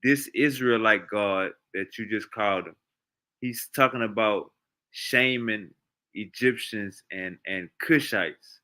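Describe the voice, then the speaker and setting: A man, his voice bright and forceful, questioning a guest in a relaxed talk about scripture. He leans on pointed rhetorical questions and keeps pushing back. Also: on the low side. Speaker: host. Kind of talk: casual debate about scripture.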